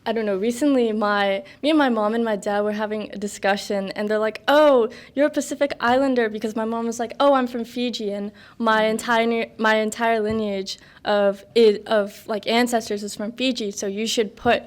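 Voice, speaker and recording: A woman's voice: fairly high pitch, fairly even intonation, normal speed, few pauses. A woman in her twenties from the United States, a podcast guest chatting casually, rambling with many fillers and laughs. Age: 20s. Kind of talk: casual interview chat.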